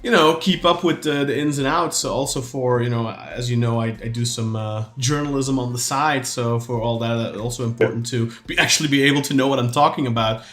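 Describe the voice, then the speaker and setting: A man co-hosting a casual podcast, rambling with plenty of fillers and restarts. His voice sits at an ordinary middle pitch. The sound carries a noticeable echo from the room. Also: fairly quick, few pauses, a bit bright, faint music behind. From raised outside Turkey. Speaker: podcast co-host. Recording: roomy echo.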